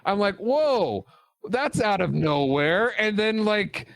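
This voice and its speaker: Animated podcast man, dry close sound. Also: sits high, slow pace, gravelly, no room sound. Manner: animated, acts out film lines in mocking voices. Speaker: podcast co-host. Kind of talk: casual movie discussion.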